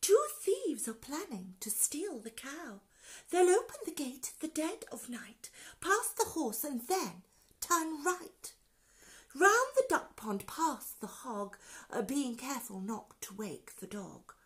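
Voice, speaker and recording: A woman's voice: high voice, big pitch swings, slow, voice pushed up, full character voice. A woman voicing a brave little female ladybird who breathlessly warns the farm animals of a plot. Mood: urgent.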